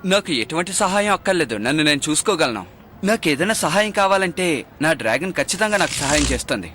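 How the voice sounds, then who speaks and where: A man's voice: fairly high pitch, lively intonation, fast, background noise, close to an everyday voice. A man playing an earnest, determined teenage boy who races dragons in a dubbed cartoon. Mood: defensive. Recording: loud music under the voice.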